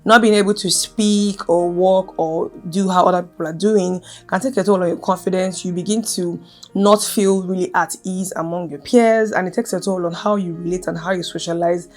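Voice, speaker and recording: A woman's voice: on the low side, steady tone, moderate pace, a little mellow, faint music behind. A young adult woman vlogging casually to camera, earnest and emphatic, who speaks with a stutter and repeats her key phrases.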